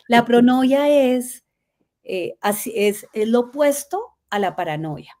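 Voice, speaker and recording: A middle-aged Colombian woman, a guest telling her life story in a lively way, laughing often. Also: a little high, slow pace. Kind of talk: conversational interview.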